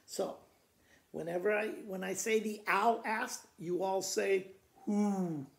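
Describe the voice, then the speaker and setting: An older man with an American accent reading children's poems aloud in a deliberate, expressive storytelling manner. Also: high voice, creaky. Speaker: school principal reading to pupils. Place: living room by a fireplace, indoors. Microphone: camera a few feet away. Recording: echoey room, camera mic at a distance.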